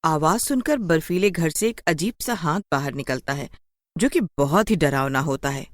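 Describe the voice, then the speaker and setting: A woman's voice: sits low, lively intonation, normal speed, dry recording, studio quality. A woman narrator reading a scripted horror story for children.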